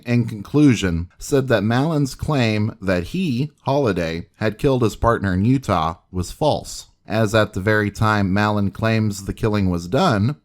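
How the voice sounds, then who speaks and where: A man hosting a history video, reading scripted documentary narration. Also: on the low side.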